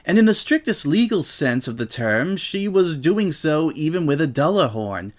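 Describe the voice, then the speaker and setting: A man's voice: a little high, very animated, normal speed, pitched above the performer's own voice. A man voicing a refined, diplomatic lady unicorn pony who speaks in careful, formal phrases in a story reading. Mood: measured.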